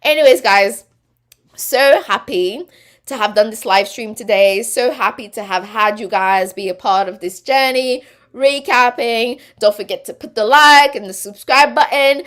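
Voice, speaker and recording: A woman's voice: fairly high pitch, measured pace, fairly bright tone. A woman hosting a casual live stream, reading viewer comments aloud and chatting back. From Quebec. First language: French. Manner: chatty, reads comments aloud and answers them.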